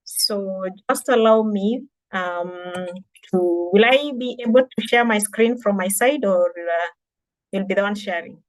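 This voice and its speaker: A woman's voice: medium pitch, unhurried, dry recording, rough recording. A woman from Kenya with a Kenyan accent, presenting as a digital marketing trainer over a video call, earnest and encouraging. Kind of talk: webinar presentation.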